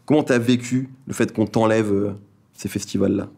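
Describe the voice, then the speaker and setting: A man hosting a casual interview, asking quick conversational questions. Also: lowish voice, fairly even intonation, vocal fry, darkish tone, husky. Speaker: interviewer host.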